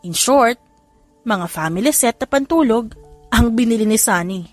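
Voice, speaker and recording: A woman's voice: fairly high pitch, lively intonation, normal speed, no room sound. A woman reading scripted story narration as an audiobook narrator. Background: faint music.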